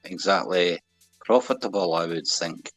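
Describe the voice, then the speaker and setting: A man's voice: low voice, normal speed, dry recording. A man in casual stream banter, easygoing and laughing along. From United Kingdom. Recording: dry close sound, faint music.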